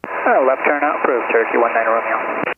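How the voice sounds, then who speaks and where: A man's voice: mid-range voice, brisk. Man on tinny, noisy aircraft radio. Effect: aviation radio. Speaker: pilot radioing the tower. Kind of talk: clipped radio calls.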